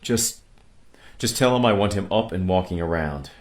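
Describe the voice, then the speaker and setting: Spaceship captain, male, low-pitched, grave and weary, used to giving orders, radio-play science fiction. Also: animated, moderate pace. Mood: uneasy, commanding.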